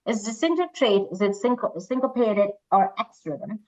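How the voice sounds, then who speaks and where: A woman's voice: medium pitch, lively intonation, normal speed, darkish tone. Dull-sounding woman hosting a quiz. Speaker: quiz host reading questions. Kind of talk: reading quiz questions, casual moderating.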